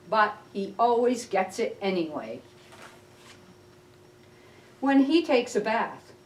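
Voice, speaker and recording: A woman reading a children's picture book aloud as a library storyteller, with expressive, deliberate delivery. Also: medium pitch. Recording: roomy echo, distant mic.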